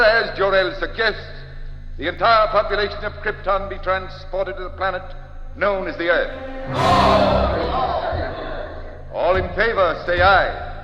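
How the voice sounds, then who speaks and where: An actor playing a skeptical, authoritative leader of an alien council, formal and oratorical in 1940s radio drama style. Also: male voice, high-pitched. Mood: formal, commanding. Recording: dull 1940s radio recording, reverberant hall, music.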